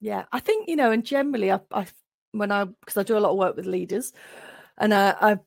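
A woman speaking as an interview guest with a gravelly edge of vocal fry. She talks in a thoughtful, reflective way, repeating words as she works toward each point. The sound is close and dry. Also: mid-range voice, quick pace, darkish tone, no room sound. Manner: thoughtful, repeats and restarts while building points. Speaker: guest, occupational psychologist.

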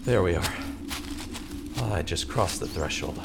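An actor playing a distraught, self-blaming man who narrates his own story in a halting, repetitive, dramatic way, as in an audio drama. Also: deep voice, lively intonation, gravelly, very noisy, exaggerated voice. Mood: frustrated, weary. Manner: dramatic, halting, repeats himself. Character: distraught, self-blaming male radio man turned amateur pursuer. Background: music.

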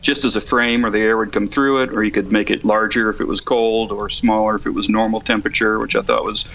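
A middle-aged man giving a casual, unscripted report as a podcast correspondent, measured and matter-of-fact. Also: on the low side, flat delivery, gravelly, fairly bright tone, lo-fi sound. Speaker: call-in correspondent on a motorcycle podcast.

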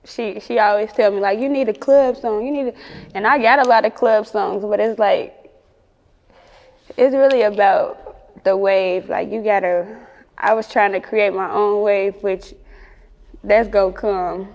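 A woman's voice: medium pitch, steady tone, a little slow, darkish tone, lo-fi sound. Slangy Chicago woman in an echoey room. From Chicago, United States. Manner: slangy, frequent swearing. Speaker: rapper being interviewed. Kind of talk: casual interview chat.

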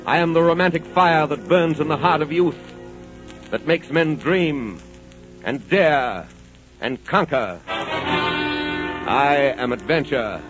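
A man's voice: a little high, big pitch swings, slow pace, long pauses. A man playing Adventure itself, a romantic immortal spirit, in a grandiose, theatrical 1940s radio monologue. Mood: grand, seductive. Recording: muffled old 1940s radio recording, music.

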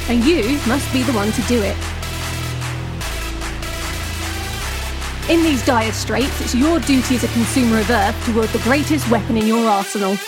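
An actress plays a grumpy female podcast host in a comedy fiction show, her voice high. She is deadpan and sarcastic, sounding thoroughly fed up with her job, with faint music behind her. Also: natural voice. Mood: grandly indignant. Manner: sarcastic, deadpan, world-weary. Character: Negative Nancy, jaded, grumpy female podcast host in space.

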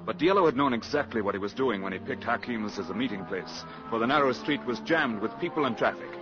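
An actor playing the sober narrator of a spy story in 1950s radio drama style. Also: male voice, mid-range voice, expressive, fast, close to an everyday voice. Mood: grave storytelling. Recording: dull vintage radio recording with music.

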